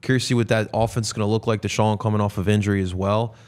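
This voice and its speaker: A man's voice: fairly low pitch, flat delivery, racing, a little mellow. A man co-hosting a sports show in an informal, conversational way. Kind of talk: casual sports chat.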